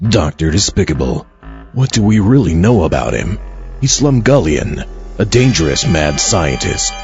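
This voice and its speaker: A man's voice: sits low, big pitch swings, normal speed, a little mellow. A man playing a grave, insinuating announcer in a parody political attack ad. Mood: ominous. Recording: dull, music clearly behind.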